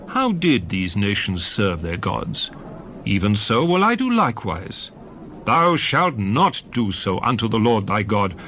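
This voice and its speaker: A man's voice: mid-range voice, a bit bright, slight background noise. A man giving a dramatized scripted reading of scripture as narrator, in a solemn, declamatory and emphatic manner.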